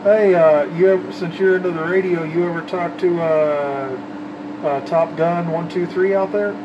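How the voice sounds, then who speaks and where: Man, fairly high voice, folksy slangy CB radio chat, clear background noise. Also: fairly even intonation, normal speed, dull audio. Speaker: CB radio operator, channel host.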